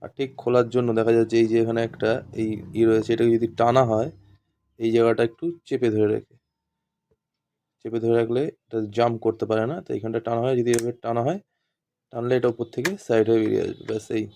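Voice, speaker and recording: A man's voice: mid-range voice, little pitch movement, quick pace, warm tone. A man with a very level, unanimated voice gives an informal practical demonstration. He goes step by step and often repeats himself. The recording has noticeable noise and small clicks. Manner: repetitive step-by-step explaining. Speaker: instructor demonstrating walkie-talkies. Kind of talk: informal hands-on tutorial.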